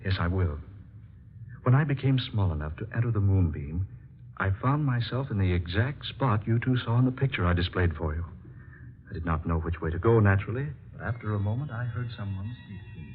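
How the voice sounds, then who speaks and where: Actor as deep-voiced scientist, old radio drama. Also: male voice, expressive, a little fast, natural voice. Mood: earnest, marvelling. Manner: formal, theatrical phrasing. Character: earnest, dignified male scientist doctor, hero in love. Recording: muffled, noisy old radio recording.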